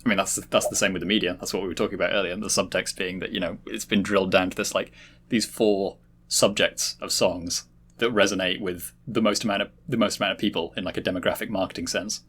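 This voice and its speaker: A man in a casual intellectual discussion, analytical, halting as he searches for words. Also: sits low, steady tone, fairly bright tone, slightly rough.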